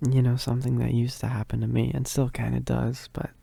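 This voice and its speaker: Needy, bashful male werewolf roommate for ASMR, acted by a man: dark soft tone, creaky, halting. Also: medium pitch, little pitch movement, no echo. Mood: anxious, confiding. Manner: hesitant, stammering, bashful with soft chuckles. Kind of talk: intimate ASMR roleplay.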